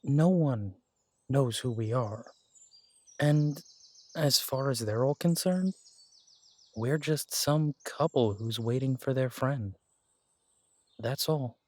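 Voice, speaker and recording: A man voicing a protective male werewolf detective in an audio drama, speaking in a gentle, reassuring, unhurried way. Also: medium pitch, no echo. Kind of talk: romantic audio drama roleplay.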